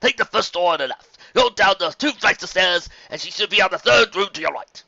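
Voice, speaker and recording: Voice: medium pitch, lively intonation, fairly quick, vocal fry, pushed and bright, no echo, muffled recording, pitched above the performer's own voice, heavily acted. A reader voicing a friendly male unicorn tour guide in a fan storybook reading, polite and helpful.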